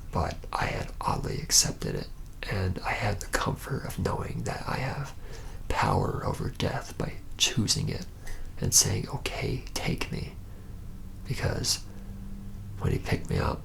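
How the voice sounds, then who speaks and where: A haunted young male vampire, acted out by a man in an ASMR roleplay, recounts his tale reluctantly. He speaks slowly and haltingly in a breathy whisper that breaks into very heavy creak. The delivery is rueful, with heavy pauses. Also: on the low side, fairly bright tone, full character voice, rough recording. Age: young adult.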